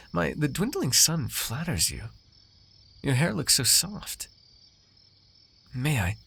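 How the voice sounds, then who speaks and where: A man's voice: medium pitch, creaky, pushed and bright, husky, heavily acted. A man playing a haughty, teasing, possessive noble master in an ASMR roleplay, with formal, drawling, condescending theatrical diction.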